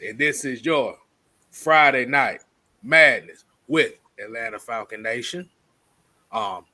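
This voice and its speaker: A man's voice: medium pitch, bright, forceful tone, no room sound. A man co-hosting a football fan live stream with casual, slangy, jokey banter and some swearing. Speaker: co-host of a football fan show.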